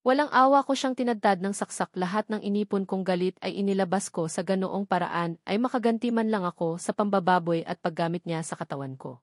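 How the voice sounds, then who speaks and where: A woman's voice: on the low side, dry recording. Dry, close-up Filipino woman narrating. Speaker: narrator of an audio novel. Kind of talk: scripted first-person story narration.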